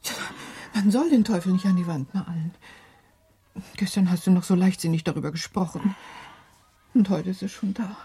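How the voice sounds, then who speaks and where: A woman in a radio play acting a middle-aged mother, brisk, chatty and skeptical, gently scolding in a matter-of-fact way. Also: lowish voice, husky.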